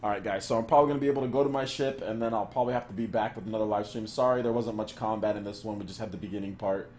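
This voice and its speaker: A man's voice: fairly low pitch, fairly even intonation, racing, a little mellow. A man hosting a live game stream, chatting casually and laughing often. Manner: laid-back, laughs often, talks to chat.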